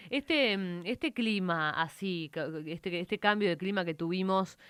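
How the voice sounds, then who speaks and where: Smooth high-voiced radio host. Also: male voice, unhurried, bright, forceful tone, no echo, clean studio sound. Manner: brief agreeing interjections, hesitant questions. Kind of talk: radio interview.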